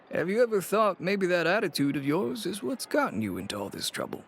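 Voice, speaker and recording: A man playing a world-weary, cautious middle-aged businessman and father in a video game, measured and resigned in manner. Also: high voice, big pitch swings, creaky, fairly bright tone, slight background noise, heavily acted. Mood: wry.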